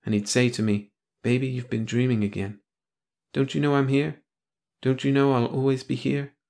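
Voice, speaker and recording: A man voicing a frightened young wife who pleads softly for her husband. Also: medium pitch, flat delivery, moderate pace, vocal fry, voice pushed up, close to an everyday voice. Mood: hushed. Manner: trembling, pleading, soft.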